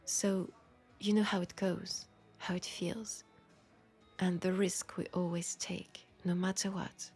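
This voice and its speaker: A woman with a French accent playing a seductive, world-weary female vampire in an intimate audio roleplay, speaking softly and deliberately, often slipping toward a whisper. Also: fairly low pitch, little pitch movement, faint music behind. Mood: frank, earnest.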